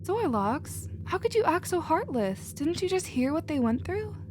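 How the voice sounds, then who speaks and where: A woman playing a gentle, emotional alien scientist in a scripted ASMR roleplay, earnest and expressive in manner. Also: very high voice, moderate pace, background noise. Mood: upset, protective. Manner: soft-hearted, earnest, expressive.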